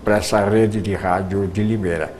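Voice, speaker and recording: An older man, a retired radio producer, reminiscing in an interview, with halting speech full of repeated words and restarts. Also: fairly low pitch, steady tone, darkish tone, slight background noise.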